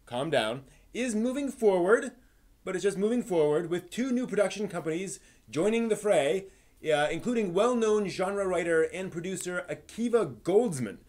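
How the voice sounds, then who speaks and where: A man hosting a live stream in a casual, improvised way, thinking aloud with halting phrasing. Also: sits high, lively intonation, darkish tone.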